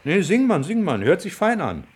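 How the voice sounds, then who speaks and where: An actor playing an easygoing, gently teasing companion in a radio play, casual and coaxing. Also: male voice, high voice, wildly swooping pitch, normal speed, husky, exaggerated voice. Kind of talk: German radio play dialogue.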